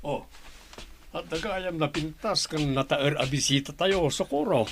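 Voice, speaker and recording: A man's voice: on the high side, a little slow, slight background noise. A man playing a courteous, caring head of the household in a radio drama. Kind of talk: Ilocano radio drama acting.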